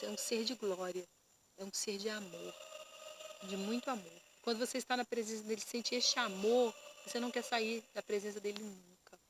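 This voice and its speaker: A woman pastor giving an earnest personal testimony to camera, often pausing and repeating words as she searches for them. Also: a little high, background noise. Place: indoors.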